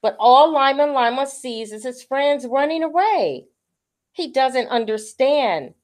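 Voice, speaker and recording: A woman reading a children's picture book aloud as a storyteller, with expressive delivery. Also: high voice, a little slow.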